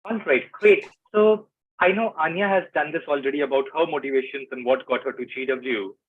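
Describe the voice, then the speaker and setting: A man's voice: fairly high pitch, a little fast, slightly rough. A man moderating an online panel, brief and inviting speakers in turn. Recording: tinny phone-like call audio.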